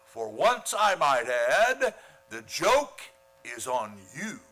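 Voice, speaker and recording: A man's voice: fairly high pitch, sing-song, slow pace, pushed and bright, heavily acted. A man playing a pompous, easily flustered middle-aged father in an old-time radio comedy. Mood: stern, smug. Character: flustered, blustering father and boss figure, Mr. Conklin.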